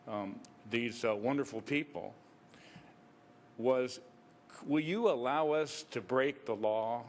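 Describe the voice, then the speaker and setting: A man's voice: mid-range voice, slight background noise. A man, a preacher, making an extemporaneous political comment at a public meeting in an emphatic, disapproving manner.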